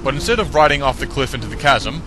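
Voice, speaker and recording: A man's voice: medium pitch, expressive, quick pace, lightly acted. A man playing an earnest, pompous superhero obsessed with safety in a comedic audio play. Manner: pompous, declamatory, over-earnest. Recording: loud music behind the voice.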